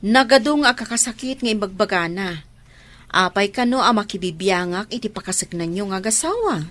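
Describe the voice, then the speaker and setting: A woman performs a caring older sister in a Filipino radio drama. She sighs and advises in a Filipino-accented voice of middling pitch that rises and falls expressively. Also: animated, a little fast, fairly bright tone, lightly acted. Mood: exasperated. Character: concerned, sympathetic older sister figure.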